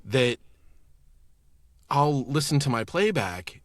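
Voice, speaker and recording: A man's voice: medium pitch, expressive, slow pace, dry recording, clean studio sound. A man telling anecdotes in casual podcast chat as a guest voice actor.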